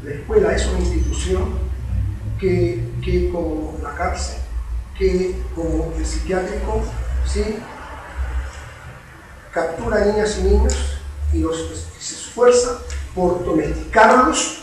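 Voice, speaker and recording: A man's voice: sits high, takes it slowly, pauses often, slight background noise. A man, a veteran teacher giving an impassioned lecture and hammering his points home through repetition. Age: 70s. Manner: emphatic, repeats key words in insistent chains. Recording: echoey room picked up from far off.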